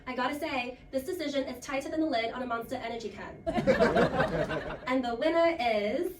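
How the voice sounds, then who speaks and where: A woman's voice: sits high, normal speed, heavy background noise. A woman hosting a student role play like a game show, with a playful, jokey, theatrical scripted delivery. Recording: roomy, distant mic, loud music.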